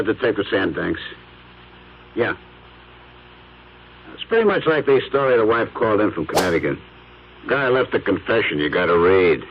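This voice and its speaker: A gruff, low-voiced cop in an old radio play. He is world-weary, colloquial and matter-of-fact, on a dull, slightly hissy recording. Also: heavily acted. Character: gruff, world-weary male police detective. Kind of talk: old-time radio drama acting.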